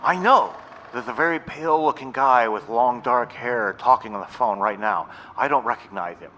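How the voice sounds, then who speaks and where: An actor playing an earnest, conspiratorial young vampire-hunting sidekick in an audio drama. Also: male voice, medium pitch, normal speed, pushed and bright, natural voice. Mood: hushed excitement.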